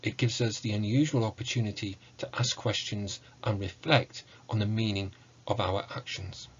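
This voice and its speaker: A man in his forties giving an academic lecture in a measured, formal, scholarly way, recorded at home on a computer mic. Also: on the low side, steady tone, slightly rough, lo-fi sound. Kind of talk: academic lecture on video game music. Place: book-lined room at home, indoors.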